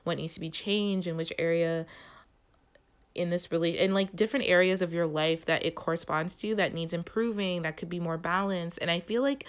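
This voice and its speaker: A woman's voice: lowish voice, fairly even intonation, clear, resonant tone. A woman hosting a tarot reading for her viewers, sounding nasal from allergies and often stumbling as she searches for words. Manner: halting, searching for words, many "like" fillers. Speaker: tarot reader and channel host.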